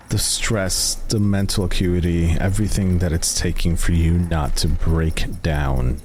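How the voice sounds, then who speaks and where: Deep-voiced, slow-talking male game master. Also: a little mellow, a little hiss or hum. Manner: deliberate, with long dramatic pauses. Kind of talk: slow, suspenseful tabletop game narration.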